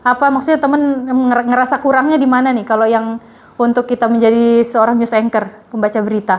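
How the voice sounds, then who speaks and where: Brief: female anchor coach, fairly high voice, loose and chatty, lo-fi dull sound. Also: level tone, a little fast. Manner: chatty, many fillers and restarts. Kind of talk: casual coaching talk.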